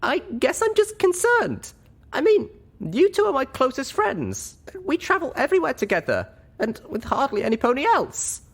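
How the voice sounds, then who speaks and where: A man voicing a small, awkward young female pony, anxious and squeaky, in a storybook reading. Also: wildly swooping pitch, moderate pace, exaggerated voice. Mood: nervous. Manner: squeaky, hesitant, blurting. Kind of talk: audiobook character voice.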